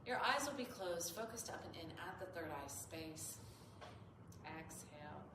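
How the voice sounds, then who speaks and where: A woman's voice: on the low side, animated, a little slow. A woman with a slightly nasal voice explains as a yoga teacher in an informal, earnest, rambling tutorial. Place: echoey indoor yoga studio. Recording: roomy echo, clearly noisy background.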